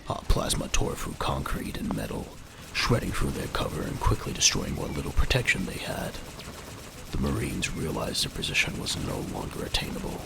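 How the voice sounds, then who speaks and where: Male audio drama narrator; low pitch, animated intonation, descriptive scripted storytelling. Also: normal speed, gravelly, noisy background.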